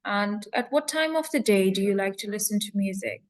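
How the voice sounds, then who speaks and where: A woman acting as examiner, asking short, clear questions in a mock speaking test. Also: mid-range voice, animated, brisk. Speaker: English coach and examiner.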